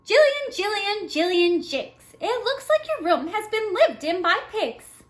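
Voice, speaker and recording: A woman's voice: extremely high pitch, big pitch swings, pitched above the performer's own voice, full character voice. A woman voicing an exasperated storybook mother who scolds and calls out names dramatically. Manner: dramatic, drawn-out name calling. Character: exasperated mother scolding her messy daughter.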